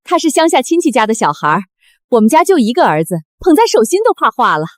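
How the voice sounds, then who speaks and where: A woman's voice: high-pitched, sing-song, fast talker, dry recording, studio quality. An actress playing a cold, stingy middle-aged mother in a melodramatic short drama. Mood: dismissive.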